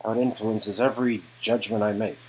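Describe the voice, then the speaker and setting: A man's voice: lowish voice, fairly even intonation, a little fast, close to an everyday voice, poor audio. Man playing a guarded, earnest man, voice raised. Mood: earnest, vulnerable. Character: guarded adult man opening up, earnest, protective, wry. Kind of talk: dramatic game dialogue performance.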